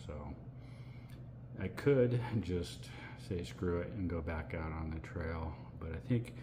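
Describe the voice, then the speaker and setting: Very deep, slow-talking man vlogging. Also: background noise. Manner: relaxed, rambling, many fillers. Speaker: hiking vlogger. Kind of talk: casual vlog to camera. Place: outdoors by a lake, then at home indoors. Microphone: phone or camera at arm's length.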